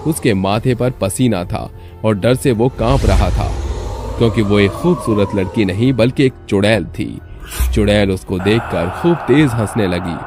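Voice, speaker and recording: A man narrating a scripted horror story in a dramatic style. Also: fairly low pitch. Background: faint music.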